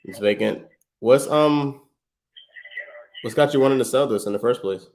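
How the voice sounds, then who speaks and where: A man's voice: medium pitch, normal speed, darkish tone. Laid-back slangy man on a sales call. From Trinidad. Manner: slangy, easygoing, friendly, with stammered restarts. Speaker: real estate investor, livestream host. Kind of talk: casual livestream chat and sales phone call.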